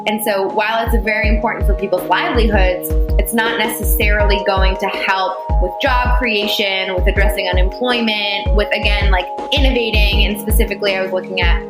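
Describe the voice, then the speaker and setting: A young woman, a company founder, answering interview questions in a measured, explanatory way with frequent "you know" fillers. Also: mid-range voice, steady tone, roomy sound, over loud music. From United States. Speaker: founder, podcast guest.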